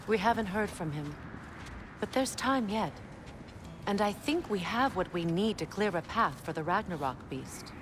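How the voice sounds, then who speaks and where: An actress playing a proud warrior queen and goddess general, composed and measured. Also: mid-range voice, lightly acted. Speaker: video game character. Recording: game audio with steady background noise.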